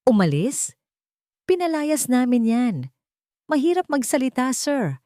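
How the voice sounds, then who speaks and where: A woman's voice: sits high, very animated, measured pace, dark tone, no echo, pitched above the performer's own voice. A storyteller woman acts out a stern lady of the house in a Filipino audio drama. She is curt, cold and bitter, with a Filipino accent. The recording is dry and close. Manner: hard, curt.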